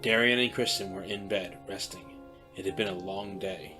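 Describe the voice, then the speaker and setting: A male narrator with a low voice reads scripted narration in a plain, matter-of-fact way. Clear guitar music plays under him. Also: steady tone, normal speed.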